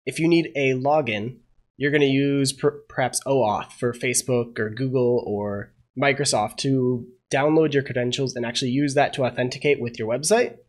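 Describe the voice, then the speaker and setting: A man's voice: mid-range voice, normal speed. A young man getting over a cold, walking through a coding tutorial as he thinks aloud, with lots of "uh" and unsure asides. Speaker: programming tutorial host. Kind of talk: casual screen-share coding tutorial.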